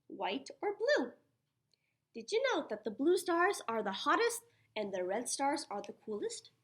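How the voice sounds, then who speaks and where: A woman preschool teacher reading a children's science picture book aloud, putting questions to her young listeners in an animated, encouraging way. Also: a little high. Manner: child-directed, asks questions and answers them herself.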